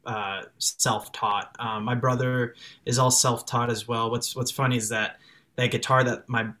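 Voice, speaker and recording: A man's voice: medium pitch, measured pace. Young man, Chicago accent, first language French, casual, laughs a lot, video call. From Chicago, raised in France till six. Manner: laughs often, restarts and fillers, relaxed. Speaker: musician guest.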